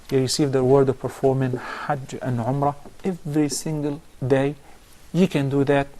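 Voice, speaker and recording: Soft-toned sheikh giving calm advice. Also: male voice, fairly high pitch. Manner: measured, instructive, lists steps. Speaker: sheikh answering viewer questions. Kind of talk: religious advice on a call-in show.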